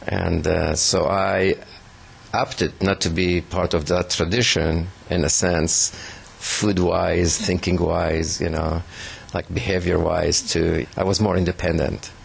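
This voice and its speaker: A man from Israel being interviewed, talking slowly with many pauses and fillers. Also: sits low, little pitch movement, creaky, breathy edge. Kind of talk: reflective recounting.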